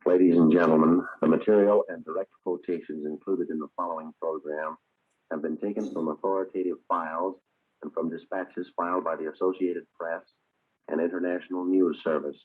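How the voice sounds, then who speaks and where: A man announcing formally in a measured, declamatory 1940s radio style. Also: low-pitched, steady tone. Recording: muffled old 1940s radio recording.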